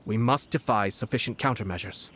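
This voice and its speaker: An actor playing a calm, shrewd noble commissioner in a video game, speaking in a measured, formal manner. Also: male voice, fairly low pitch, slight background noise. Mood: grave, resolute. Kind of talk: scripted video game dialogue.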